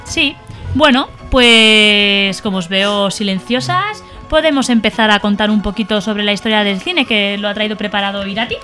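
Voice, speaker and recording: A woman's voice: medium pitch, sharp tone, faint music behind. A young adult woman hosting a children's radio show in a studio, playful and teasing as she chats. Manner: playful and teasing, drawn-out words.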